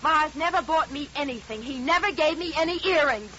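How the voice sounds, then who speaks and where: Brassy femme fatale, piercing, animated, indignant, vintage radio serial with dull, lightly hissing sound. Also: female voice, high-pitched, fairly quick. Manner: slangy, indignant, biting. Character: brassy, scheming, defiant femme fatale wife. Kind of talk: 1950s radio drama acting. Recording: dull, hissy 1950 radio recording.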